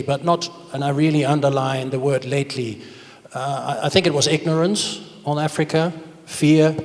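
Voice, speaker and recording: A middle-aged man answering on a panel, explaining emphatically and repeating words as he goes. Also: fairly high pitch. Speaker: panelist, hotel investor. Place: large indoor conference stage. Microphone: handheld microphone. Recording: reverberant conference hall sound.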